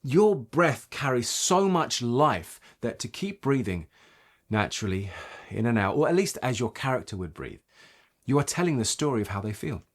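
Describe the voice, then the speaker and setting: A man's voice: medium pitch, sing-song, normal speed. A man giving an instructional talk as a voice coach, explaining points emphatically and checking in with short rhetorical questions. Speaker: voice acting coach.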